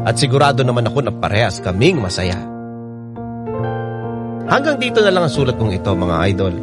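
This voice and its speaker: A contented parent narrating a heartfelt letter for radio drama. His voice sits mid-range with lively rises and falls, over loud piano music. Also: mid-range voice. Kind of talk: scripted first-person radio drama narration. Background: prominent piano music.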